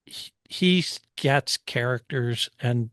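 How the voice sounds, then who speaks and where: A man's voice: medium pitch, slow pace, a bit bright, dry recording, clean studio sound. A man co-hosting a podcast, chatting casually and explaining things like a knowledgeable enthusiast. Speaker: podcast co-host. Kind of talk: casual movie review discussion.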